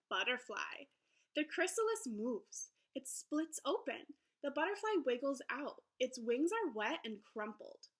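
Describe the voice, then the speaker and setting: A woman teacher reading aloud to young children in an encouraging, expressive storybook manner. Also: sits high. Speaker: elementary school teacher. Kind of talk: children's read-aloud lesson.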